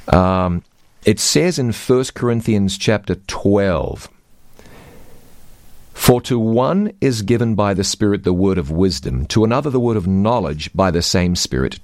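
Low-voiced male Bible teacher, reads scripture and quizzes, dry close sound. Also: darkish tone, no room sound. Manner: reads scripture aloud, quizzes his co-host.

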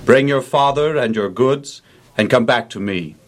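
A man's voice: medium pitch, measured pace, natural voice. An actor playing Pharaoh, a grown king who gives stately, generous commands in a radio drama. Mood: commanding.

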